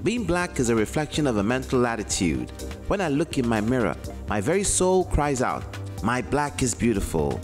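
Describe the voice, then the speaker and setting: A man's voice: mid-range voice, very animated, normal speed, faint music behind. A man reciting a poem in a dramatic spoken-word style. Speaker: poetry reader.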